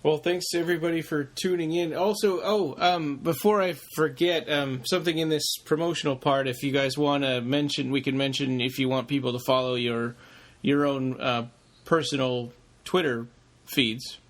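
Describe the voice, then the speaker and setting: A man's voice: medium pitch, steady tone, moderate pace. A man co-hosting a podcast in a casual, chatty style. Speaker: podcast co-host.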